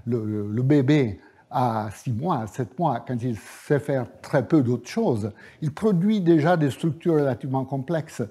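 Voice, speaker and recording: A male professor whose first language is Italian, giving a university lecture at a measured pace with frequent hesitations and fillers. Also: medium pitch, dark tone, husky. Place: lecture hall.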